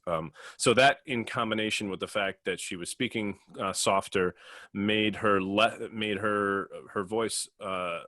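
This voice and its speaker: Guy podcast host, deepish voice, keeps restarting what he says, casual chat, really dry sound. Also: a bit bright, no echo. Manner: halting, restarts phrases often. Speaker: podcast host and audio editor.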